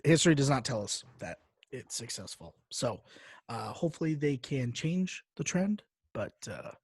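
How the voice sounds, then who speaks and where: A man's voice: mid-range voice, sing-song, moderate pace, gravelly, breathy edge, dry recording, clean studio sound. A man co-hosting a podcast in casual banter, joking and opinionated, with playful repetition. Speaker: podcast host.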